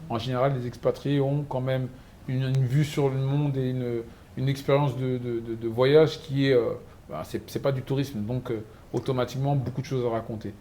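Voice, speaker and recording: A man hosting an interview, chatty and lively, telling anecdotes and asking questions. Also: medium pitch, moderate pace, a little hiss or hum. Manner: chatty, animated storyteller. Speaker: host. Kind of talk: interview, conversational.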